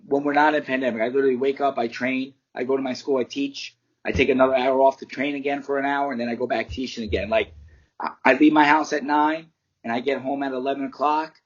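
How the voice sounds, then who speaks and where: A man's voice: mid-range voice, muffled recording. A man, a fighter and martial arts teacher giving candid, rambling interview answers and swearing casually. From United States.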